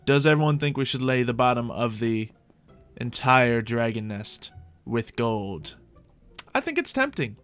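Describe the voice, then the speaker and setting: A man's voice: medium pitch, fairly bright tone. A man hosting a gaming series, thinking aloud in light roleplay with mock-archaic, formal fantasy phrasing. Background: music.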